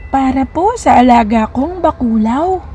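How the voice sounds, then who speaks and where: A woman's voice: high-pitched, expressive, a little slow, warm tone, a little hiss or hum, voice pushed up. A woman voicing a sweet, polite little daughter with a childlike way of talking, in a storybook reading. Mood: shy, eager.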